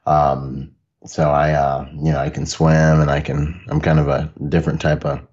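A man's voice: very deep voice, level tone, normal speed, a little mellow, muffled recording. A man from the Bahamas, a podcast guest telling stories casually, laid-back and laughing often. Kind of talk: casual conversational storytelling.

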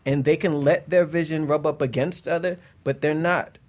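A man's voice: fairly high pitch, steady tone, moderate pace, a little mellow. Man talking emphatically on a panel. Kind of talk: casual panel discussion.

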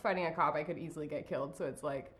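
A woman hosting a podcast in casual chat, blunt and profane and quick to laugh. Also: low-pitched, a bit bright. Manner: laughs often, blunt and swearing. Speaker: podcast host. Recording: roomy echo.

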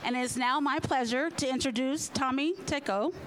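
Woman doing a formal intro at a conference, high voice, smooth and scripted. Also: moderate pace, slight background noise. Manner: polished, formal. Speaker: sponsor representative introducing speaker. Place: conference session room.

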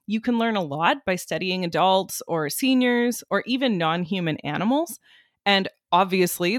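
Woman explaining stuff like a teacher in an interview, articulate, normal pitch, really dry sound. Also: no room sound. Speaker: music cognition researcher and educator, interviewee. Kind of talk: conversational educational explanation.

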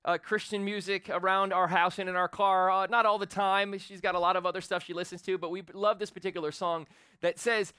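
Man, 40s, preacher, loud animated delivery, fairly high voice, smooth and resonant. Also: brisk, dry recording, clean studio sound. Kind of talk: sermon. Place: church sanctuary with a congregation. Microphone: handheld or stage microphone.

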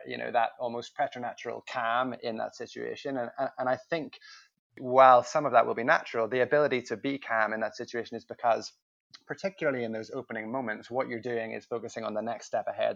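A man co-hosting a podcast, speaking in a measured, reflective way and repeating words as he thinks. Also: medium pitch, creaky. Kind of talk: reflective discussion. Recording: slightly muffled lo-fi audio.

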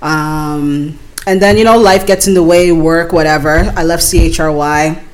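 Relaxed woman DJ, halting with fillers. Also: low-pitched. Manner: relaxed, hesitant, many fillers. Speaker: DJ guest. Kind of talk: casual interview answers.

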